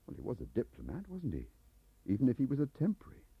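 Man playing a husky, aggrieved English clerk. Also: medium pitch, huge pitch swings, quick pace, dry recording, pitched above the performer's own voice, full character voice. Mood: confiding. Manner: rambling, confiding, defensive. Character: aggrieved, lonely middle-aged male embassy registry clerk. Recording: dull lo-fi cassette transfer.

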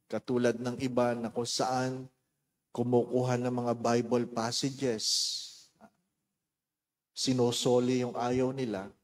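A middle-aged Filipino priest giving a homily in a large church, speaking into a handheld microphone in slow, measured phrases with deliberate pauses. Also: male voice, mid-range voice, a little mellow. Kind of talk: homily mixing English and Tagalog. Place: large church sanctuary, in front of the altar.

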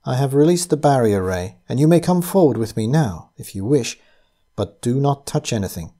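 A man reading aloud, voicing a soft-spoken, teasing young woman who pilots a flying ship in a storybook. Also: fairly high pitch, very animated, moderate pace. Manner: soft, lilting, playfully condescending.

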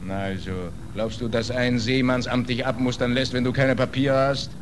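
An actor playing a cynical, resigned German ship's hand, terse and sardonic, in a 1940s radio drama. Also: male voice, mid-range voice, little pitch movement, normal speed, lightly acted. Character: cynical, hard-bitten German stoker, stateless and resigned. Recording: noisy 1940s radio recording.

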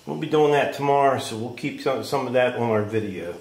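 A man's voice: mid-range voice, fairly quick. Folksy American man, roomy noisy recording. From United States. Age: middle-aged. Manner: plainspoken, folksy. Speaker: homestead vlogger reviewing a tool. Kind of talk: casual hands-on product demonstration. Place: open wooden workshop shed. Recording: roomy echo, clear background noise, clatter.

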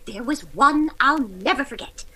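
High, fluttery, scatterbrained old landlady. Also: animated, moderate pace, sharp tone, slightly rough, heavily acted. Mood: fond reminiscing. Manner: rambling, absent-minded. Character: scatterbrained, kindly elderly landlady, forgetful and rambling. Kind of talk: old-time radio comedy acting.

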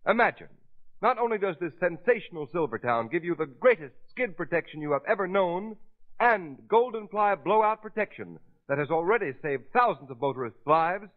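A man's voice: high-pitched, lively intonation, normal speed. A man announcing in a polished, emphatic, dramatic 1930s radio style, reading from a script. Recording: thin, phone-like 1930s radio recording.

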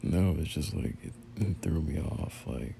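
A young man playing a bashful, teasing friend turned boyfriend in an intimate roleplay audio, speaking in halting, murmured slang. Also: a bass voice, expressive, creaky, background noise, heavily acted. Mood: stunned, unsure.

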